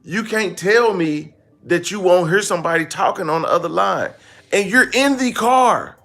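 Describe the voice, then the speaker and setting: Creaky-voiced American man, joking commentary. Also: sits high, normal speed, soft background music. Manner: animated, repeats phrases for emphasis; joking, slangy and blunt. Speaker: online commentary host. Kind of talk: casual comedic commentary.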